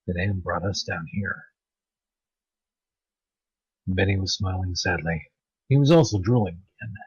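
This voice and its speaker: Husky low-voiced man reading a story. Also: no echo, lo-fi sound. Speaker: narrator of online story readings. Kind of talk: amateur dramatic story narration.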